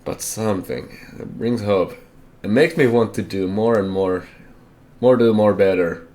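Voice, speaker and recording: Deep-voiced young man, halting and candid. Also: a little mellow. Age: young adult. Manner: halting, hesitant, self-correcting, candid. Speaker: vlogger. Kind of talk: personal life update.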